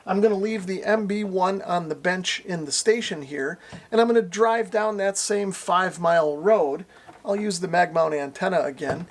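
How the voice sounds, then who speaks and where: A middle-aged American man giving a casual product review to camera as a radio reviewer. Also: sits high. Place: small indoor workshop at a radio bench.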